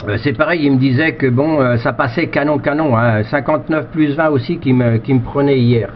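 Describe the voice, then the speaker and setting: A man's voice: medium pitch, brisk. Rambling male ham operator, dull lo-fi sound. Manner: hesitant, repetitive, rambling delivery. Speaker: amateur radio operator. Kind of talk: casual radio contact chat with ham jargon. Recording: dull lo-fi radio-station audio, faint noise.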